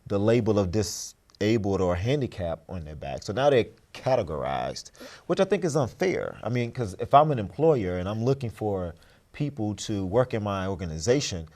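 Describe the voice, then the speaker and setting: Guy being interviewed, lowish voice, earnest motivational talk, really stresses his points. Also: very animated, moderate pace, a little mellow. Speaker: interview guest.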